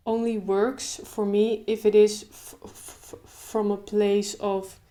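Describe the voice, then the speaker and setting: A young woman talking casually to camera, stuttering openly with sound repetitions and pauses, then easing into a relaxed, slow delivery. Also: medium pitch. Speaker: video creator.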